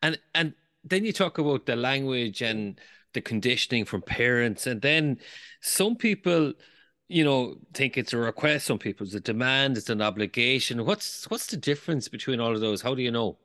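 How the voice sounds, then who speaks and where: A man's voice: medium pitch, expressive, normal speed, sharp tone. A man hosting a podcast, giving short affirmations and asking loosely phrased questions. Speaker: podcast host. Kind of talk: conversational interview questions.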